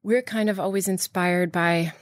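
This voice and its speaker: A woman's voice: fairly low pitch, fairly even intonation, moderate pace, no room sound, clean studio sound. A middle-aged woman from Iran, founder of a beauty brand, answering interview questions with eager enthusiasm and many restarts.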